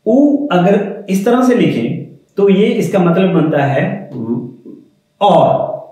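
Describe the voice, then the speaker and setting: Male tutor, slow and mellow, lively pitch, very echoey room. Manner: slow, patient explanation, repeating key words. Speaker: French teacher. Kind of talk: explaining to viewers.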